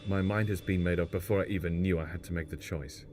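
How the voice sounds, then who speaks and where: A man's voice: sits low, steady tone, fast talker, music in the background, lightly acted. A man playing a guarded, blunt travelling companion in a sci-fi audio drama. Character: guarded, plain-spoken male travelling companion.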